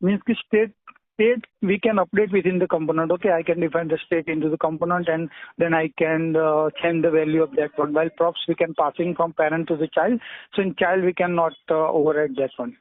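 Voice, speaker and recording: A man with an Indian accent answering technical interview questions over the phone, hesitant and halting, often clearing his throat. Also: fairly high pitch, fairly even intonation. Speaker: job candidate being interviewed. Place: phone call. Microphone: telephone. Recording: tinny narrowband telephone line.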